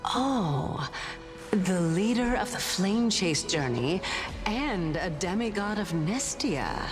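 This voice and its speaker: Ancient wise titan from a game, slow n solemn, big reverb. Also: female voice, medium pitch, very animated, over music. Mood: curious, probing. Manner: solemn, cryptic, lofty. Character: ancient, serene female Titan of reason. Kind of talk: measured game voice acting. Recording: long cavernous reverb.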